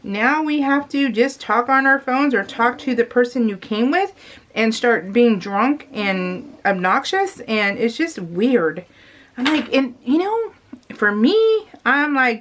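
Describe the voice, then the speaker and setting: A woman's voice: fairly high pitch, fairly bright tone, a little hiss or hum. A middle-aged woman vlogger chatting casually in a rambling, emphatic, confiding way. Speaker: craft vlogger. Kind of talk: casual chat.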